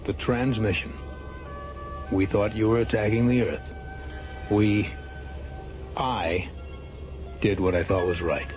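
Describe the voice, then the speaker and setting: An actor playing an ambitious, defensive military space major in a radio drama, with halting, dramatic delivery. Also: male voice, on the low side, steady tone. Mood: shaken, defensive. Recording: dull lo-fi radio drama, music behind.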